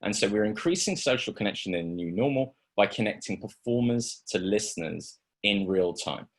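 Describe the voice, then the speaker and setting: A man giving a startup pitch over a video call, with many ums and restarts. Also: expressive, normal speed. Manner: hesitant, many fillers. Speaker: startup presenter. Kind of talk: pitch presentation.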